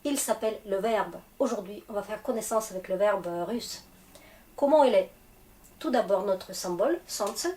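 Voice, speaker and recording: A woman with a Russian accent and a cold, teaching a language lesson, slow and patient as she spells out word endings. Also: medium pitch, dark tone. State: has a cold.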